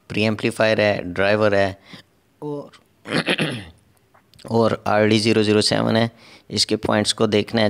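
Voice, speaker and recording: A man's voice: lowish voice, vocal fry. A man narrating a step-by-step repair tutorial as an instructor, in a methodical way with repetitive phrasing.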